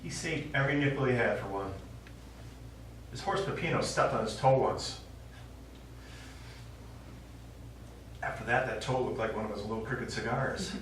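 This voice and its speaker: An actor playing an elderly, stubborn widower and war veteran, reminiscing in a wry, rambling way in a stage play. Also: male voice, mid-range voice, brisk, a bit bright, lightly acted. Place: small theatre stage, recorded from the audience. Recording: echoey small theatre, distant mic, faint noise.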